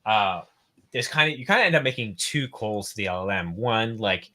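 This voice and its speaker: Aussie guy on a coding stream, lowish voice, bright and forceful, laid back and jokey. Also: normal speed. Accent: Australian. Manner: relaxed, jokey, thinks aloud. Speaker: co-host. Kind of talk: casual live coding chat.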